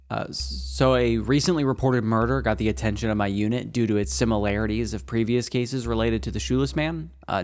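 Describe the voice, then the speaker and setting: A man's voice: fairly low pitch, close to an everyday voice. An actor playing a nervous young male agent who stammers and hesitates through a report. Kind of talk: audio drama acting.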